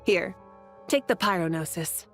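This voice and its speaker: A woman's voice: fairly low pitch, unhurried. A woman voicing a confident, easygoing female leader god in a video game, with a breezy, teasing manner. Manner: breezy, self-assured, teasing. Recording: game music behind.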